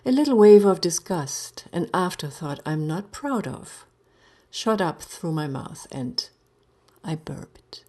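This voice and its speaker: A woman narrating a story in the first person, deliberate and theatrical, sometimes dropping to a hush. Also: lowish voice, animated. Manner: deliberate, theatrical, breaking into hushed phrases.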